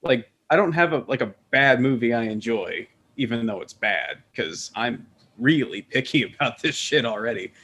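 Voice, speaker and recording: Guy on a podcast just rambling, opinionated, swears a bit, mid-pitched voice. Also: lively intonation, moderate pace, fairly bright tone, slightly rough. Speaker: podcast panelist. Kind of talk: casual group chat.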